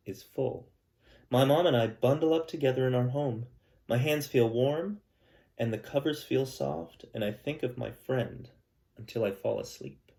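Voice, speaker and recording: Gentle male teacher reading aloud. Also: medium pitch, creaky. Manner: gentle, calm storytelling with chatty asides to kids. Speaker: elementary school teacher. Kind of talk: reading a picture book aloud to students.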